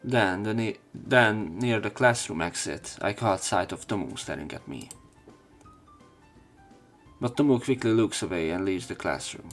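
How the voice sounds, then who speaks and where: A man's voice: fairly low pitch, expressive, unhurried, fairly bright tone, soft background music. A man narrating a visual novel as a let's player, reading its story and dialogue aloud.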